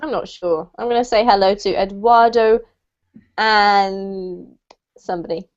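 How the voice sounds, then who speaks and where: A woman from the UK teaching an English class online, cheerful and quick to laugh as she greets students by name over a video call. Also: mid-range voice, fairly even intonation. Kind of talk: casual online class chat. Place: home room in New Zealand. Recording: dull lo-fi video call audio.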